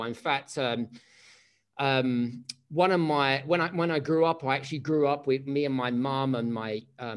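A man, giving a chatty motivational keynote with many restarts and questions to the audience. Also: mid-range voice. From England. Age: 30s. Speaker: keynote speaker.

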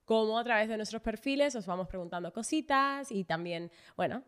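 A woman's voice: on the high side, a little fast, clear, resonant tone. Enthusiastic woman co-hosting. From Dominican Republic. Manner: enthusiastic, restarts and trails off with fillers. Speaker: podcast co-host. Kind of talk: casual chat.

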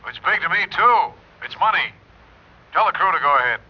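Higher-pitched, irritable boss. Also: male voice, lively intonation, fairly quick. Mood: irritated. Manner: curt, irritated, unsentimental. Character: curt, penny-pinching, irritable male building boss. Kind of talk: classic radio drama acting. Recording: dull old radio recording.

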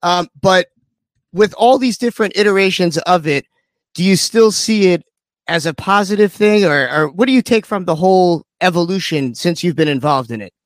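A man's voice: high-pitched, moderate pace, dry recording. A man hosting a radio show interview, chatting casually with crude, joking banter.

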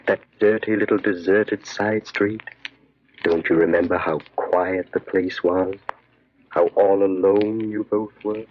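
Evil inner voice in old radio horror, really deep, insinuating, keeps pushing, muffled lofi sound. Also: male voice, steady tone. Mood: insinuating, urging. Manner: insistent, insinuating, repeats phrases slowly and knowingly. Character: sinister inner voice, insidious male tempter urging crime. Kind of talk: 1940s radio horror acting. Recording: dull, lo-fi old radio recording.

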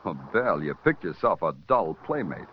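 A hard-boiled police detective trades dry, sardonic lines in a low voice that still rises and falls freely. It comes from a dull, lo-fi 1940s radio crime drama with a faint hiss. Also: male voice, sing-song, moderate pace. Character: tough, wisecracking police detective. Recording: dull lo-fi old radio recording.

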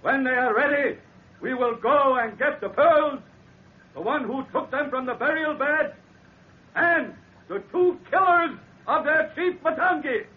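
An actor playing an island interpreter who speaks broken, halting English, in an old radio drama. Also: male voice, extremely high pitch. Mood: commanding. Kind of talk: 1940s radio acting. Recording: tinny old radio broadcast.

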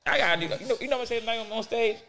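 A man's voice: very high-pitched, very fast, pushed and bright, slight background noise. A man with a Baltimore accent chatting casually, swearing freely and often repeating words as he restarts phrases. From Baltimore, USA. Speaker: stand-up comedian, podcast guest. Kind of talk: casual round table chat.

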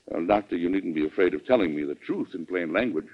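An actor playing a resolute middle-aged American president with an emphatic, authoritative delivery in an old-time radio drama. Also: male voice, sits low, lively intonation, quick pace. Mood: composed, considerate. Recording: dull, lo-fi vintage radio recording.

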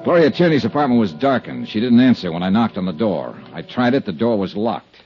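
A muffled old radio recording of a hardboiled investigator. His voice is low and swings freely in pitch, yet the telling stays dry, clipped and matter-of-fact, in the manner of 1950s detective drama. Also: sing-song, fairly quick, soft background music. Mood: ominous. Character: cool, wry male insurance investigator narrating his case. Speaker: lead actor and narrator.

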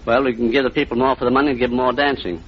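A man's voice: mid-range voice, fairly even intonation, racing. A middle-aged man answering as a quiz contestant, plain-spoken and a bit hesitant. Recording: dull, faintly noisy old radio recording.

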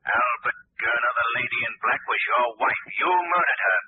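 A man's voice: mid-range voice, expressive, lightly acted. An actor playing a mysterious unseen male vigilante who coldly accuses a murderer, in 1940s radio acting. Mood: menacing. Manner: menacing, accusing, slow deliberate. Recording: tinny lo-fi old radio broadcast with faint hiss.

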